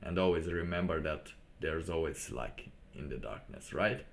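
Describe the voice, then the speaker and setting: A man hosting a casual livestream monologue in a halting, rambling manner with preacher-like asides. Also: a bass voice, gravelly, a little hiss or hum. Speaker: livestream host.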